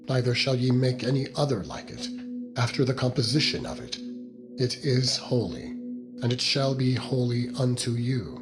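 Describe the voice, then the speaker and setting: A man narrating a dramatized Bible reading in a solemn, measured manner. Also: medium pitch. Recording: music under the voice. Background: background music.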